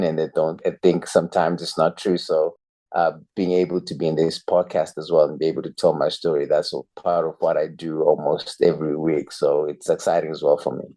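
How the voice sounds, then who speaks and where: Guy in his 30s, really low voice, earnest and measured, chatting as a podcast guest. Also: mellow. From Zimbabwe. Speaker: guest, youth pastor. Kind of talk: podcast interview, personal story.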